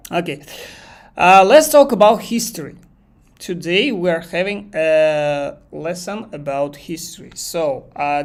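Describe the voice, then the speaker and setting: A man from Kazakhstan with a Kazakh accent, teaching a live online English exam lesson and thinking aloud as he goes. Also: high voice. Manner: thinks aloud, repeats phrases, reads chat questions aloud.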